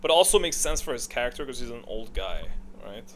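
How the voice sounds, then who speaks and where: A man giving casual, unscripted commentary on a video game, opinionated and thinking out loud as he ranks things. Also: medium pitch, very animated, fast talker, a little hiss or hum.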